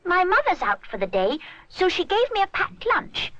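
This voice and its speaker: A woman's voice: very high-pitched, wildly swooping pitch, normal speed, a bit bright, dry recording, highs cut off, voice pushed up, exaggerated voice. A woman voicing a greedy, amiable young boy pig in a children's story, childlike and a little plaintive. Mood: eager.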